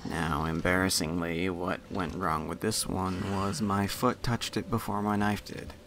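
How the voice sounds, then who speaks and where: A man with a very deep voice full of fry narrates gameplay casually. His delivery is wry and understated, recorded over faint noise and clicks. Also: level tone, a little slow. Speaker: game player commentator.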